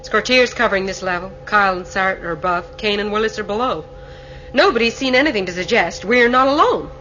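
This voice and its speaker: Actress as female starship captain, authoritative, probing, clear-cut bright tone, faint noise, radio drama. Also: medium pitch, a little fast, lo-fi sound, close to an everyday voice. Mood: brisk, businesslike. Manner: authoritative, businesslike.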